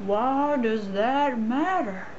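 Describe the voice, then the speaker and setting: A woman's voice: on the high side, lively intonation, extremely slow, darkish tone, muffled recording, slight background noise. A woman playing the presenter of a scripted amateur puppet show. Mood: presenting. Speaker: show host.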